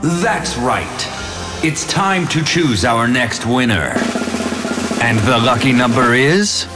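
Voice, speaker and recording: An actor playing a sinister master of ceremonies in a game, announcing with grand, drawn-out, menacing showmanship. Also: male voice, mid-range voice, lively intonation, over music. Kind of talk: theatrical game villain announcing.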